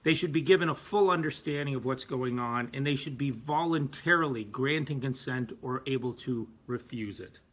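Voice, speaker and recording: A man delivering a prepared advocacy speech as a physician, emphatic and deliberate with pauses. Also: mid-range voice, a bit bright, slightly rough. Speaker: physician and activist.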